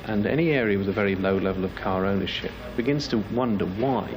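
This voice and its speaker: A man's voice: fairly low pitch, quick pace. A man speaking as a campaigner, making an earnest case in a formal campaign speech. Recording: clearly noisy, urban background sound.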